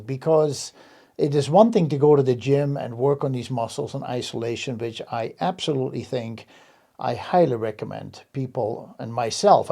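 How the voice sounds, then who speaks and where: A man's voice: medium pitch, vocal fry, mellow. A man hosting an interview, asking questions and affirming his guest with frequent agreement. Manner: affirming, lots of backchannel agreement. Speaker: host. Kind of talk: casual interview talk.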